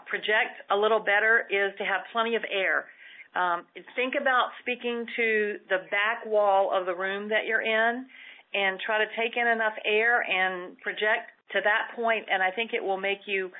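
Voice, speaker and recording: A woman's voice: mid-range voice, normal speed. Practical woman host on a phone line. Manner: steady, practical, explaining. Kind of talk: call-in advice show.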